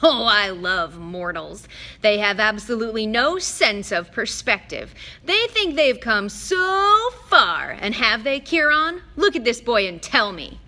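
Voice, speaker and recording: Woman doing a petulant male god, whiny, pouting, theatrical, bright voice, loud and lively. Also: a little high, measured pace, full character voice. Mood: scornful. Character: petulant, haughty male wine god, bored and menacing.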